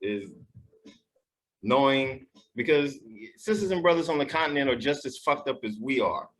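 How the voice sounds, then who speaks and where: A man's voice: mid-range voice, animated, normal speed, fairly bright tone, rough recording. A man with a blunt, joking and profane way of talking in a casual group discussion. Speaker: podcast council member.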